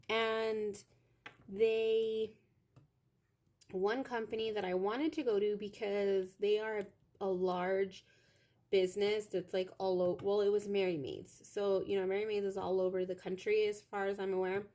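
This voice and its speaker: A young woman with a loud voice, chatting casually from her home office while crafting, meandering with lots of fillers. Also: mid-range voice. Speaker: craft vlogger. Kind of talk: rambling casual chat to viewers.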